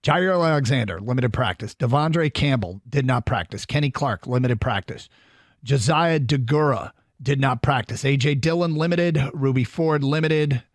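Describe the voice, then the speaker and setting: A man co-hosting a sports radio show, chatting casually and rattling off injury reports briskly. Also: medium pitch, dry recording, studio quality. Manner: brisk, reads lists quickly. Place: radio studio.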